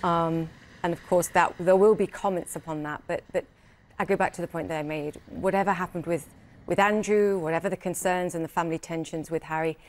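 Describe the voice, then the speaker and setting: Woman news correspondent, low-pitched, earnest and halting, live panel talk. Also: fairly even intonation, a little hiss or hum. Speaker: anchor and correspondent.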